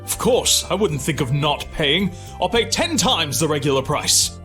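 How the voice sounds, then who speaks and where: Pompous rich game snob, high for a man, swooping delivery, faint music. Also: sing-song, a little slow, a bit bright. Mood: smug. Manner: pompous, snooty. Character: haughty, entitled rich traveler. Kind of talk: English video game dub acting.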